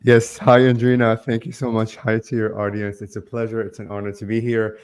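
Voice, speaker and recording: Man, 40s, low voice, earnest, rambling, remote call. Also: completely flat delivery, fairly quick, darkish tone, smooth. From Lebanon. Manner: earnest, loose, heavy with "like" and "um". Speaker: guest, spiritual channel. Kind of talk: conversational interview.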